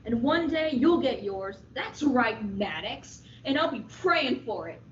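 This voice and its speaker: A seedy, spiteful barfly informant acted by a teenage woman in a comic crime radio play. The voice is high, sitting well back from the mic in an echoey space. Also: big pitch swings, a little slow. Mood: bitter, threatening. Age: teens. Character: seedy, whiskey-soaked, spiteful male informant. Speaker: school radio drama actor. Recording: distant, echoey, dull, faintly noisy audio.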